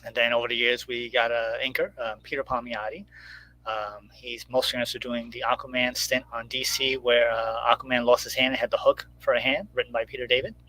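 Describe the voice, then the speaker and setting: A middle-aged man chatting casually on a video call, explaining things in a halting way. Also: mid-range voice, little pitch movement, pushed and bright. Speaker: guest writer and radio show host. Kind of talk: casual chat. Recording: clearly noisy line with hum.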